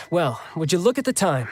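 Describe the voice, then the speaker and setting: A man's voice: on the high side, big pitch swings, measured pace. A man voicing a young male warrior in a video game, casual and cocky, teasing yet protective. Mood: breezy.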